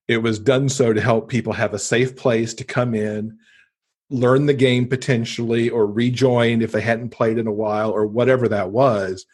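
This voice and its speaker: A man with a slightly husky, mid-pitched voice talks as a guest in a casual interview. He explains things at length in a conversational way, often adding asides as he goes. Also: darkish tone. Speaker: podcast guest.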